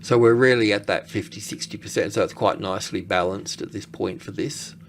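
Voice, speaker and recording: A man giving casual, unscripted screen-share commentary as an analyst, halting and thinking aloud as he goes. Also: on the low side, steady tone, slight background noise. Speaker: market analyst presenting.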